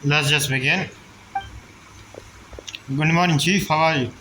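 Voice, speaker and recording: A woman's voice: low voice, measured pace, heavy background noise. A woman doing casual, playful, blunt playthrough commentary as a game streamer, in short interjections. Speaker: game streamer reacting to dialogue.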